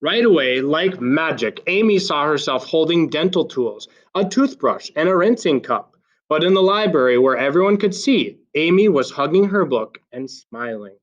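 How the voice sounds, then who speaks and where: A man reading a children's story aloud like a teacher, with expressive, deliberate emphasis. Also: fairly high pitch. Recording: slightly dull, lo-fi sound.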